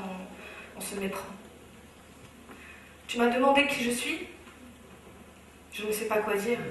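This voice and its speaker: A woman's voice: a little high, a little hiss or hum. A Moroccan woman on stage delivering a rhythmic, impassioned spoken-word slam in a large hall. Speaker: speaker. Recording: very echoey hall, from far off.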